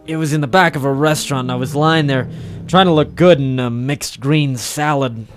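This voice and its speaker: A man's voice: a little high, normal speed, soft background music, lightly acted. An actor as a hard-boiled, sardonic noir tough guy. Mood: wry, deadpan. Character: cynical wisecracking male private troubleshooter of the docks. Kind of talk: late 1940s noir radio acting with narration. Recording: old 1940s radio drama recording.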